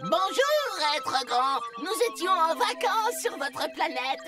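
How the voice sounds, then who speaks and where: A woman playing a friendly, formal little alien visitor in a cartoon dub, making cheerful, deliberate declarations. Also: very high-pitched, sing-song, heavily acted. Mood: polite, friendly. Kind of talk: animated cartoon dub acting. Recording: loud music behind.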